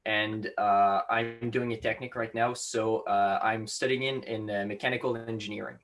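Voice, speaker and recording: A man's voice: on the low side, fairly even intonation, moderate pace, a bit bright. A seventeen-year-old young man from Quebec, a native French speaker with a slight Quebec French accent, answering interview questions hesitantly with many fillers. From Quebec, Canada. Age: teens. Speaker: guest maker.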